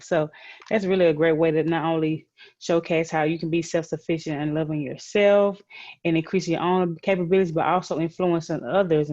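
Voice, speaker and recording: A woman's voice: fairly low pitch, a little mellow. A woman hosting an online session for children, talking in an informal, encouraging, conversational way over muffled audio. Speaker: session host. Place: virtual group session.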